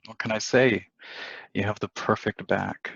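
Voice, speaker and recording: A man's voice: on the low side, fairly even intonation, unhurried, creaky, a bit bright, breathy edge, exaggerated voice. A man acting a smitten, flirtatious married man from the 1950s in a stage play table read, on a dull lo-fi recording. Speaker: actor at a table reading. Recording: dull lo-fi sound.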